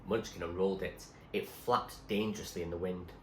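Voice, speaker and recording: Low-voiced man narrating, roomy echo. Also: steady tone, brisk, darkish tone. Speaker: teacher reading aloud. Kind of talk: expressive storybook narration. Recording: roomy echo, faint background noise.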